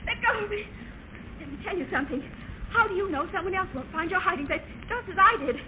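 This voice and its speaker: A woman's voice: very high-pitched, animated, fast talker, narrow, tinny audio. An actress playing a plucky captive young woman in an old radio drama, pleading yet defiant, urgent and dramatic. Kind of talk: 1940s radio drama acting. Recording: noisy, thin old-time radio recording.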